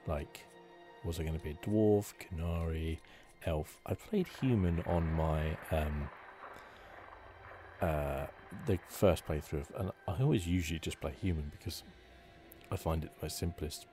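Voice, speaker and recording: Very deep-voiced soft-spoken man. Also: unhurried, faint music behind. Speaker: gaming video host. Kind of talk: ASMR game playthrough commentary.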